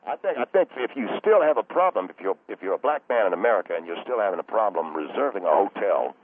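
A man voicing a smug male pitchman calling in, with a deep voice that swoops widely over a tinny phone line. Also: expressive, fast, no room sound. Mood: boastful.

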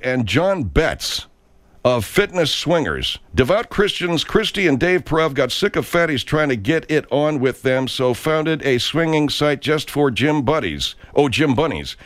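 A man's voice: fairly high pitch, expressive, a little slow, fairly bright tone, dry recording. Mocking male morning radio host. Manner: mocking, crude, joking. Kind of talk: irreverent morning radio banter.

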